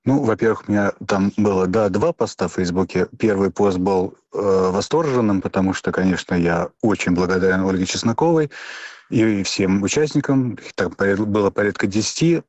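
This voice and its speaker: A middle-aged man from Russia answering interview questions spontaneously, in a halting, digressive way, often rephrasing himself. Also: deep voice, a little mellow, no room sound. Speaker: interview guest, online library keeper.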